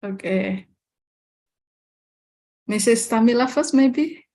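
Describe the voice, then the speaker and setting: A woman with an Indonesian accent answering as a lecturer on a webinar panel, speaking hesitantly with frequent pauses over a video call. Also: a little high, warm tone.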